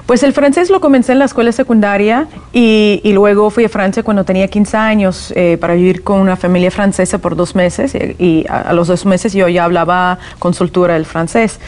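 A woman's voice: mid-range voice, fairly even intonation, fairly quick, hardly any pauses, darkish tone. A woman born in the Soviet Union and raised in California, with Russian as her first language, explaining things as a guest in a TV interview with frequent "eh" fillers.

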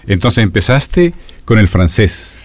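A man's voice: on the low side, very animated, takes it slowly, no room sound. A man interviewing his guest, prompting her with short questions and affirmations.

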